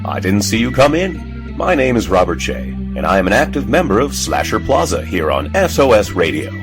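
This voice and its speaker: A man's voice: fairly low pitch, big pitch swings, moderate pace. A man, a radio host, delivering a tongue-in-cheek scripted promo in a mock-sincere way. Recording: music behind. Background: music.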